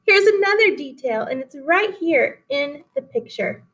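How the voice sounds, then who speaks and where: High-voiced woman teaching young children. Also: a little slow. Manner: instructive, expressive, addressing young children. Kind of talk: read-aloud lesson for children. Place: small classroom indoors.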